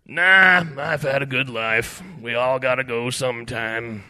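A man voicing a large, world-weary male shrimp in a story, with a gravelly, philosophical delivery. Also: medium pitch, bright, forceful tone, dry recording. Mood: resigned, philosophical. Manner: slow, resigned, pontificating.

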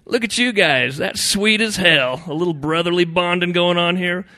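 Fishing buddy in a story, acted by a male narrator: brash, loud, pitched up, mocking and sarcastic. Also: sing-song, a little slow, exaggerated voice. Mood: sarcastic teasing. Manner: sarcastic, teasing.

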